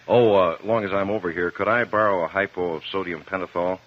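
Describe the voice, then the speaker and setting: Very deep-voiced, protective tough professional man acting. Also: fairly even intonation, fast talker, lightly acted. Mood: wary, casual. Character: protective, hot-tempered male dentist. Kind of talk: 1950s radio crime drama acting. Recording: muffled old 1950s radio recording.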